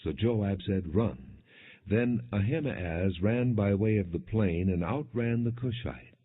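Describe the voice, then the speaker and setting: A man reading scripture as a scripted audiobook narration in a measured, solemn way. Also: fairly low pitch, slightly rough. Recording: dull, lo-fi narrow-band audio.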